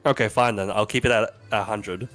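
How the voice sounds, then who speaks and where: A soft-spoken man reading a visual novel aloud and chatting casually, swearing now and then. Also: lowish voice, steady tone, fairly quick, faint music behind. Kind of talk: narration mixed with casual banter.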